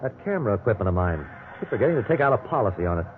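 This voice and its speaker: Lively, teasing American husband, old radio. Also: male voice, fairly low pitch, racing, narrow, tinny audio. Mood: affectionate, teasing. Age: middle-aged. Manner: folksy, teasing banter. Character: easygoing middle-aged husband, joking, eager fisherman. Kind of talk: 1950s radio drama acting. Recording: band-limited 1950s radio recording with hiss.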